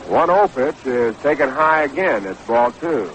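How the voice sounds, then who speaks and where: A man's voice: mid-range voice, expressive, measured pace, a bit bright. Noisy vintage radio American baseball announcer, male. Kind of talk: live radio sports commentary. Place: ballpark broadcast booth. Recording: noisy 1960s AM radio broadcast.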